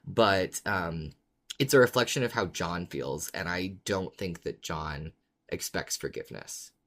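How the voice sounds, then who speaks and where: A man co-hosting a podcast, talking casually in a hesitant, measured way as he thinks aloud. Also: low-pitched, fairly bright tone. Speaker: podcast co-host. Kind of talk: casual discussion of a fiction podcast.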